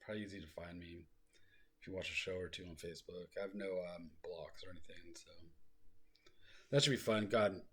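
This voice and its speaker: A man from South Lake Tahoe talks through his life story with a deep, gravelly voice. His tone is husky, and he wanders into digressions and fillers. It is a slow, soothing delivery meant to help people fall asleep. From South Lake Tahoe, California. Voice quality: soft, low voice that he calls soothing. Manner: rambling, self-deprecating, full of fillers and digressions. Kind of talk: rambling casual storytelling, aiming to soothe.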